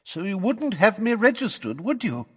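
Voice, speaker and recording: A frail, batty old woman played by a male narrator in an audiobook, high and quavery. She sounds scared and flustered, her husky voice wavering in long reverb. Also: sing-song, normal speed, a bit bright, full character voice. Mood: nervous. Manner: gabbling as if reciting by heart.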